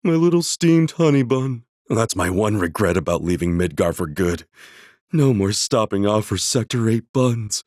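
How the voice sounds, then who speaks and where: A man playing a chatty, excitable townsman in a video game. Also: lowish voice, normal speed, no room sound, clean studio sound, close to an everyday voice. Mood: wistful. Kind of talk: video game NPC lines.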